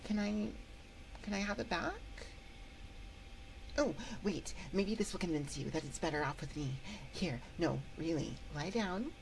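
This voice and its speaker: A woman voicing a friendly, possessive monster from under the bed in an ASMR roleplay, coaxing softly and often whispering into a close binaural microphone. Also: fairly low pitch, expressive, unhurried, creaky, noisy background. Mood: playfully coaxing. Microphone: binaural 3Dio microphone, close up.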